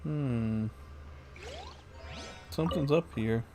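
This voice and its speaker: A man's voice: mid-range voice, very slow, music in the background. A man livestreaming a game, reacting and reading dialogue aloud. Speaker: streamer.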